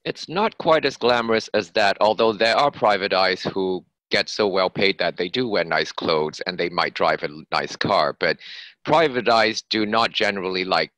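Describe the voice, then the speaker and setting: A man's voice: low voice, moderate pace, sharp tone, dry recording, low-quality recording. A man speaking as an author and interview guest, explaining things in a conversational way.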